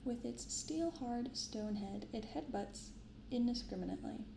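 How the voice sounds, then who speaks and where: Soft-spoken ASMR woman with a gravelly creak. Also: on the high side, animated, reverberant, background noise. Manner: gentle, unhurried reading and commentary. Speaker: card unboxing video host. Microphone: binaural microphone close up.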